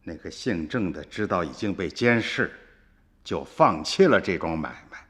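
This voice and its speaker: A man's voice: sits low, expressive, moderate pace, fairly bright tone. A man playing an old peasant grandfather in a radio drama, earthy and colloquial, emotional in his storytelling. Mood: recounting. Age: older. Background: music.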